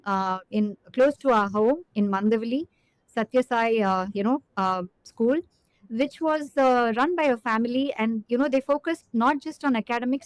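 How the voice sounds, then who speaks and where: A woman's voice: on the high side, no room sound, rough recording. A middle-aged woman from Chennai, earnestly recalling her childhood as an interview guest, with many fillers. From Chennai, India. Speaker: guest executive. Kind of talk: reflective interview answer.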